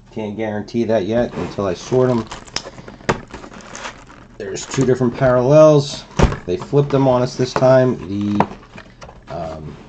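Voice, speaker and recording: Hoarse low-voiced man opening card packs. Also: noisy background. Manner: relaxed, explanatory, with many fillers. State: hoarse from a cold. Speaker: card break host. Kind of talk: casual card-opening commentary. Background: crinkling wrappers and clicks.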